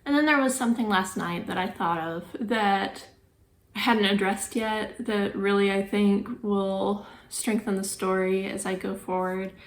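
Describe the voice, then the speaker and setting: A woman's voice: medium pitch, steady tone, measured pace. A woman in her thirties vlogging casually about her writing, sick and congested, with a voice that is going, rambling and thinking aloud. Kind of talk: casual vlog chat.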